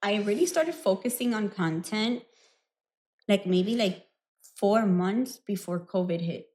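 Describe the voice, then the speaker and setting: A woman's voice: medium pitch, unhurried. Young Miami woman with Cuban-American lilt; laid-back podcast guest, giggly, brief replies. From Miami, Cuban family. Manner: relaxed, laughs often, short answers. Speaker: guest, adult performer. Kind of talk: casual interview chat.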